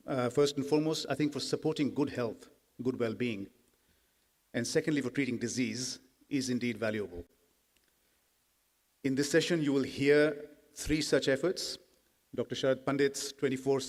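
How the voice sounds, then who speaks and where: A man moderates a conference session, giving a formal introduction at a medium pitch. He speaks in a measured way, often pausing on fillers. The sound rings with long reverb from a large hall.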